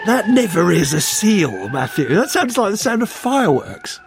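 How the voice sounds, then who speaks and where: A man's voice: high voice, sing-song, moderate pace, vocal fry, background noise. A man presenting live radio news, interviewing a guest with a wry, teasing manner.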